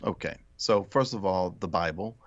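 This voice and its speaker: A man from the Philadelphia area with a very deep, gravelly voice speaks as a guest author in a reflective, halting way. His audio sounds dull and dry. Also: no echo. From Philadelphia area, United States. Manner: reflective, hesitant, many restarts. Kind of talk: casual interview chat.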